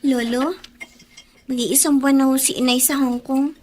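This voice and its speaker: A woman voices an earnest young granddaughter in a radio play, with a high voice over faint hiss. She speaks politely but with strong feeling. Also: fairly even intonation, moderate pace, darkish tone, close to an everyday voice. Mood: resentful. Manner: earnest, respectful. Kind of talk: radio drama acting.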